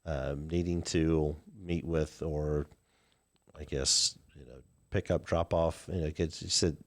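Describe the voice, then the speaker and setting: A man in his fifties, a Midwestern American mayor answering live-chat questions, pausing often with "uh" and "um" as he thinks. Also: extremely low pitch, fairly even intonation. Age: 50s. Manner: halting, many "uh" and "um" fillers. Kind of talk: live call-in Q&A.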